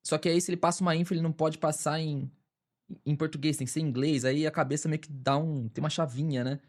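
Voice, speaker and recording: A man's voice: a little high, steady tone, fast. A man from Brazil, a streamer, chatting casually and reacting to a video with lots of slang.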